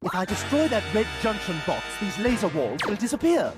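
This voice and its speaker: A man's voice: high-pitched, wildly swooping pitch, fairly quick. A man playing a haughty, scheming baby genius in a cartoon video game, with a dry, theatrical manner. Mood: dry, sardonic. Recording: loud game music over the voice.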